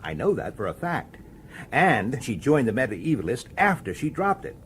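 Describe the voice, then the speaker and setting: Man playing a defiant police detective, swooping pitch. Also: sing-song, moderate pace, lightly acted. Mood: pressing, tense. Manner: insistent, accusatory, clipped sardonic questioning. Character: dogged, defiant male police detective, framed and determined. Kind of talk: radio drama acting. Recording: slightly noisy radio drama recording.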